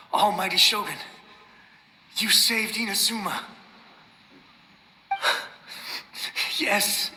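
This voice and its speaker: Desperate high-voiced samurai, echoing reverb. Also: male voice, bright, forceful tone, heavily acted. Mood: awed gratitude. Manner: pleading, intense, breathless urgency. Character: desperate battle-weary samurai soldier, loyal, a ghostly memory. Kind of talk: dramatic game voice acting. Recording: echoing long reverb, noisy ambience behind.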